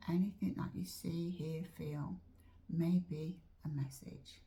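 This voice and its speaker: A woman guiding a meditation in a soft, often whispered voice, slow and drawn-out, a little chesty as she is losing her voice. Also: low-pitched, steady tone, creaky. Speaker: meditation teacher. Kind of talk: guided meditation.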